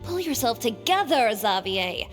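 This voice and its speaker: A brisk, frank young woman in a video game. Her voice is high and lively, with music underneath. She sounds confident and breezy, taking charge. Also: in a large hall. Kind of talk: video game character acting.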